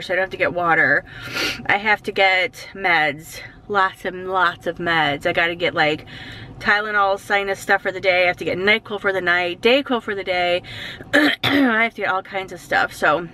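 A woman in her forties, sick and congested with a scratchy throat, chatting casually to a handheld camera and clearing her throat often. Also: medium pitch, sharp tone. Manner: rambling, filler-heavy, frequent throat clearing. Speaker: vlogger. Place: parked car, then a home kitchen. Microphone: handheld camera close to the face. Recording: car and outdoor background noise.

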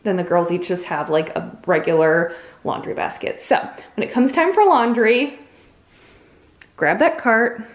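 A woman's voice: mid-range voice, a little slow. An American woman in her thirties chatting casually to viewers and explaining things in an informal way. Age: 30s. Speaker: home and cleaning vlogger. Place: indoors in a house.

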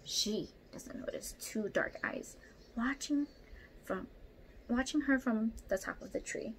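A young woman reading a picture book aloud expressively at home, pausing to ask child listeners questions. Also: a little high, a little hiss or hum, low-quality recording. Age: young adult. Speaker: storyteller for children. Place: small room at home, indoors.

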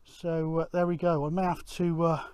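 A middle-aged man gives casual outdoor vlog commentary in a rambling, halting way, thinking aloud. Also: fairly high pitch, flat delivery, a little mellow, smooth, no echo, studio quality. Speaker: amateur radio vlogger, presenter. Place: outdoors on an open grassy hillside.

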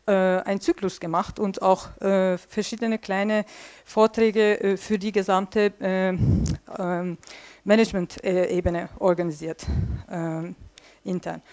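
A woman's voice: medium pitch, flat delivery, slow pace, a little mellow. Woman giving a chatty talk. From Turkey. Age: 40s. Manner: chatty, many filler sounds, drawing on a flip chart while talking. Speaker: speaker at a tech policy evening. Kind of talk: informal conference talk. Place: small dim event room indoors. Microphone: headset mic.

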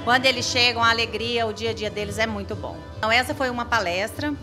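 A Brazilian woman gives interview answers in a fairly high voice. She speaks into a reporter's handheld mic in a small room. Music plays clearly behind her. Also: fast. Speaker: social assistance centre staffer. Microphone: handheld reporter microphone.

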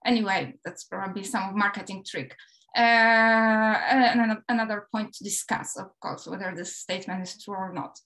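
A woman's voice: medium pitch, fairly even intonation, moderate pace, fairly bright tone. A woman with a Polish accent giving an academic lecture over a video call, hesitant, with light laughs as she talks. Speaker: guest lecturer.

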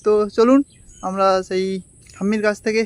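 A man's voice: high voice, steady tone, moderate pace. A man with a West Bengal accent casually presenting a vlog outdoors on a riverbank. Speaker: vlog host.

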